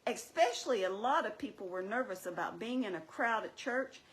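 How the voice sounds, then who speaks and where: A woman's voice: medium pitch, expressive, normal speed, lightly acted, rough recording. A woman playing a sensible female puppet in a scripted church puppet show, explaining things with wry, chiding teasing. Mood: earnest, correcting.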